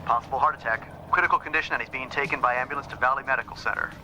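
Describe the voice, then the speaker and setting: An actor playing a businesslike air traffic controller with a clipped, procedural delivery. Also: male voice, mid-range voice, steady tone, rapid-fire, bright, forceful tone, rough recording. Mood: brisk, official. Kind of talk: acted medical dramatization.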